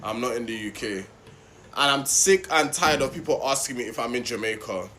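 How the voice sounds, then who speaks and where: A man's voice: mid-range voice, animated, a little fast, sharp tone, a little hiss or hum. A man filming on a trip abroad, addressing viewers in an exasperated way. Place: abroad in Grenada.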